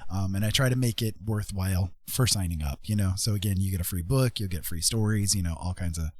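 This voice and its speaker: Low-pitched man chatting casually on a livestream. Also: quick pace, no echo. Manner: rambling, self-deprecating. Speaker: livestream host, author and artist.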